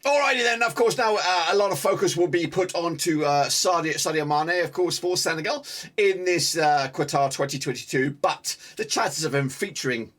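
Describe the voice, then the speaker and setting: Excitable British football host, high voice. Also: male voice, moderate pace. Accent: British English. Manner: excitable, punchy British banter. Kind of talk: energetic football fan interview. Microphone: phone or video call.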